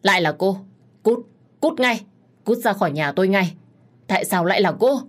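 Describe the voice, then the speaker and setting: A woman voicing a furious, scornful middle-aged wife and mother, shouting accusations in a dramatic story reading. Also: medium pitch, lively intonation, normal speed, pitched above the performer's own voice, close to an everyday voice.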